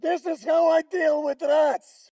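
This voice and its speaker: An actor playing a brutal, threatening Russian soldier in a shooter game, with a Russian accent. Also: male voice, very high-pitched, flat delivery, slow, dark tone, no room sound, shouting. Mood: enraged, taunting. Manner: threatening, taunting. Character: brutal, menacing Russian soldier hunting a child. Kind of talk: combat voice lines for a shooter game.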